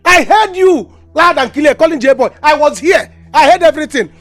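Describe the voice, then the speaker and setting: A woman's voice: high-pitched, lively intonation, normal speed, soft background music. A woman playing a nosy village neighbour who accuses and gossips. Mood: accusing.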